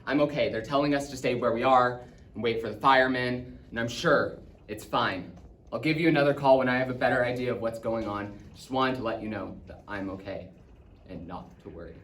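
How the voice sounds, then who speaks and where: A man playing a frightened father who tries to reassure, urgent and halting, in a dramatic monologue. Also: mid-range voice, a bit bright, natural voice. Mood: uneasy reassurance. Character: frightened father trapped in disaster, trying to reassure. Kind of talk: dramatic monologue, acted phone messages. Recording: distant echoey room, faint noise.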